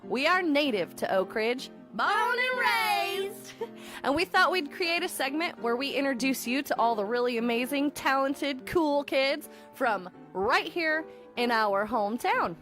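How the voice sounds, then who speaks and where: A woman's voice: sits high, sing-song, a little slow, a bit bright, faint music behind. A woman from Oregon co-hosting a segment with a playful, scripted introduction. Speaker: segment co-host.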